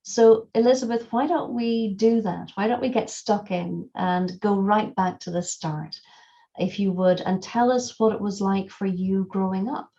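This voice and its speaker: A woman's voice: medium pitch, fairly even intonation, measured pace, mellow, muffled recording. A woman hosting a podcast, delivering scripted introductions and interviewing her guest. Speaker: podcast host and coach.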